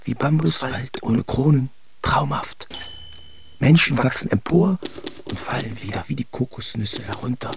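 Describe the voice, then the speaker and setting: A man acting an excited onlooker who calls out a circus act in terse, staccato fragments for a literary radio play. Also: mid-range voice, measured pace, creaky, slight background noise. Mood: breathless wonder.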